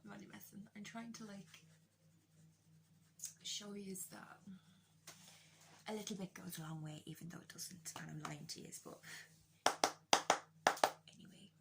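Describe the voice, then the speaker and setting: A woman's voice: medium pitch, a little slow, vocal fry, long pauses, heavy background noise. An Irish woman chatting casually through a makeup tutorial, candid, joking and self-deprecating, with a bit of swearing. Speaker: beauty vlogger doing a makeup tutorial.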